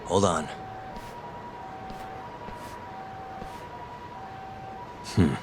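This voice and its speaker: An actor playing a composed, street-smart private detective in a video game dub, speaking in a cool, understated, self-assured way. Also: male voice, low-pitched, normal speed, background noise, close to an everyday voice. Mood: low-key, watchful. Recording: game audio with music underneath. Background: background music.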